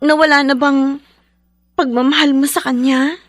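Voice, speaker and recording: A woman's voice: sits high, steady tone, normal speed, dry recording, clean studio sound, natural voice. A woman playing a chatty, concerned young best friend in a Filipino radio drama, with theatrical, exclamatory reactions. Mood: concerned surprise.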